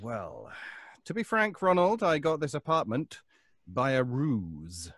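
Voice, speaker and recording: A man acting a nervous, scheming fellow in an old-time radio suspense play, with a halting, stammering, pleading delivery. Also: mid-range voice, wildly swooping pitch, a bit bright, no echo, exaggerated voice. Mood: guarded, sly. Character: nervous, obsessive, scheming middle-class man.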